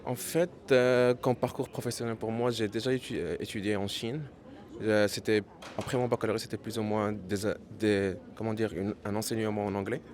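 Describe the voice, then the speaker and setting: A man's voice: fairly low pitch, little pitch movement, a little fast, darkish tone. A young man student answering hesitantly, searching for words.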